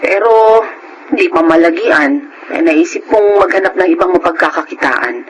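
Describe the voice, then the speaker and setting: A woman playing a caring, worried working mother in an expressive, earnest Filipino radio drama style, on a noisy recording. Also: lowish voice, moderate pace, close to an everyday voice.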